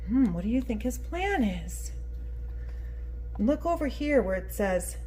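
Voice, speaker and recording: A woman's voice: a little high, sing-song, unhurried, mellow, slight background noise. A woman reading a picture book aloud expressively and prompting young listeners with questions in a teacherly way. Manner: teacherly, addressing small children, prompting them with questions. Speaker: children's read-aloud storyteller.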